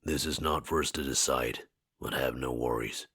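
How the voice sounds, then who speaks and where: A man voicing a calm ancient spirit in a borrowed body for a video game, speaking in a formal, measured, philosophical way. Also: dry recording, studio quality, exaggerated voice. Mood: solemn, measured. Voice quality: very deep, husky. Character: calm ancient male spirit inhabiting a dead man's body.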